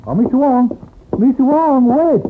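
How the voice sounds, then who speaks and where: Excitable Chinese porter, high voice, halting broken English, old radio drama, tinny recording. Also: male voice, over music. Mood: eager, urgent. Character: excitable Chinese hotel porter reading aloud. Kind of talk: 1950s radio drama acting.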